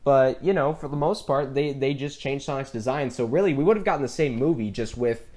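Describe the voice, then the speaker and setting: A man's voice: mid-range voice, brisk, a little mellow. A man co-hosting an enthusiastic, casual discussion about films, opinionated and stressing his points with repetition. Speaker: podcast co-host.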